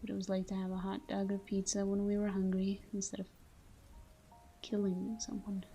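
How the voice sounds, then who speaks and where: Woman acting a soft, mellow vampire lover. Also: mid-range voice, monotone, normal speed, faint music behind, natural voice. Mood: wistful, regretful. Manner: soft, tender, breathy murmur slipping into whispers. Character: caring, tormented female vampire lover watching her sleeping partner. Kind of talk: intimate scripted ASMR roleplay monologue.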